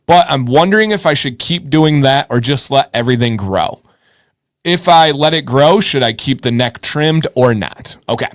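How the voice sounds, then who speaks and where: A man's voice: medium pitch, lively intonation, moderate pace, no room sound, studio quality. A man hosting a live advice show in casual chat, informal and encouraging, thinking aloud and repeating himself.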